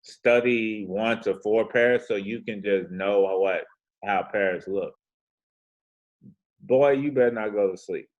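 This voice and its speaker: A man with a very deep, low voice and a soothing tone talks through charts in a relaxed, slangy way. He drifts into rambling asides as he goes, easygoing and conversational. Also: slow, highs cut off. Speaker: forex trading host. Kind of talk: casual chart walkthrough.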